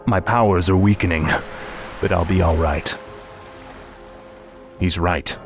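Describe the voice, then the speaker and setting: An actor playing a grim, weary undead knight prince in a game cutscene, terse and brooding. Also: male voice, low-pitched, fairly even intonation, slow pace, faint music behind. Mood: grimly resolute. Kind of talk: video game cutscene acting.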